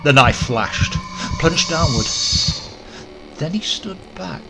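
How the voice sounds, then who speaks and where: A man's voice: a little high, animated, slow pace, creaky, very noisy. A man reading a horror story as an audiobook narrator, theatrical, emphatic and formal in his delivery. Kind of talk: dramatic scripted horror narration.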